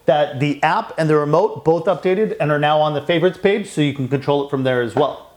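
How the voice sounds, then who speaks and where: A man's voice: fairly high pitch, a little fast, darkish tone. A middle-aged American man presenting a semi-scripted product demonstration indoors at a house.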